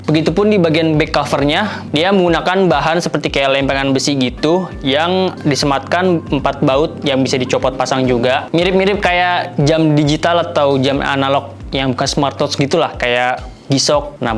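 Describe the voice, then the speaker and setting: A man with a colloquial Jakarta Indonesian accent hosting a product review in an informal, explanatory way. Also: on the high side. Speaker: product reviewer, video host. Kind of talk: casual scripted gadget review.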